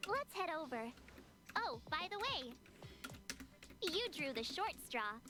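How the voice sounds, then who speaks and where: Casting: perky young game heroine, high animated voice, teasing, music behind. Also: female voice, slow, heavily acted. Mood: playful teasing. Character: perky, teasing, energetic young woman adventurer.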